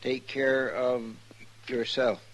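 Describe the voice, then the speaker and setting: A man's voice: medium pitch, level tone, slow, natural voice. An American man with a rural Western drawl playing a simple, good-natured, talkative country deputy in a 1950s radio western. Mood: halting, proud. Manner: folksy, rambling, drawling. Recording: noisy old radio recording.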